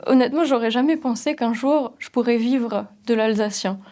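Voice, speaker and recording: Earnest young woman, fairly high voice. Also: moderate pace, warm tone. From Alsace. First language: Alsatian. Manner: thoughtful, hesitant, earnest. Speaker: Alsatian language teacher. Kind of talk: interview testimony.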